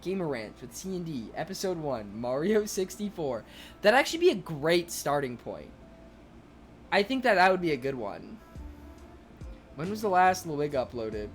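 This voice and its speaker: Young man, streamer, bit high voice, excitable rambling chat, faint music behind. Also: moderate pace. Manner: excitable, rambling, many fillers. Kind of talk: casual chat reading viewer comments.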